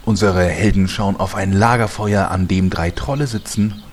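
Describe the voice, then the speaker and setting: A man's voice: deep voice, fairly even intonation, moderate pace, a little hiss or hum, close to an everyday voice. A man as the narrator, reading scripted storytelling for a parody fantasy audio drama. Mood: measured storytelling. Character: storytelling male narrator of heroes' adventures.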